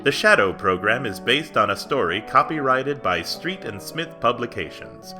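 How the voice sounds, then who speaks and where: A man's voice: mid-range voice, expressive, normal speed, close to an everyday voice. Man as formal old-time announcer over music. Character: formal 1940s radio program announcer. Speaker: radio announcer. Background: plucked string music.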